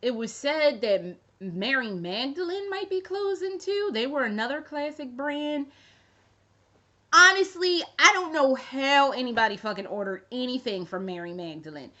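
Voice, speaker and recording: A woman's voice: high voice, lively intonation, normal speed, bright, forceful tone. A woman in her thirties chatting casually to camera as a fashion vlogger, rambling and swearing freely with jokey asides. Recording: dull lo-fi recording.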